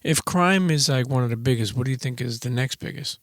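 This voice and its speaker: A man's voice: mid-range voice, fairly quick, a bit bright, no room sound, studio quality. A man hosting a casual interview podcast, colloquial and talking straight to his listeners. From Brooklyn, New York. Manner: colloquial, addresses listeners directly. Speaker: podcast host.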